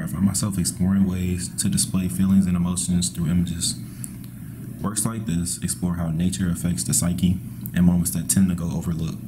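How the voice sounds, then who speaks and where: A young man from Missouri, an art student, reading his artist statement in a calm, measured way. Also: sits low. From Missouri, USA. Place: indoor art gallery. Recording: steady background noise.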